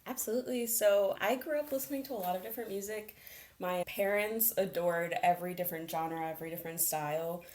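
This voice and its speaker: A woman's voice: mid-range voice, brisk, a little mellow. A young woman, a programs manager, talking earnestly and reflectively in an interview conversation, laughing now and then.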